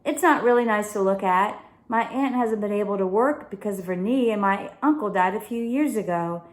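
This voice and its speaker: A woman's voice: mid-range voice, moderate pace, lightly acted. Woman voicing a breezy young girl in an echoey room. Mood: apologetic. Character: Vanessa, confident, breezily reassuring young girl. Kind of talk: children's audiobook character voice.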